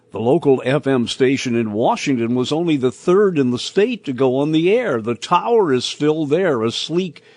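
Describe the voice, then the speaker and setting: Slow, animated older American man telling stories. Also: mid-range voice, no room sound. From Indiana, United States. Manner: measured, reminiscing storyteller. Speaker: host and storyteller. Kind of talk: scripted personal narration.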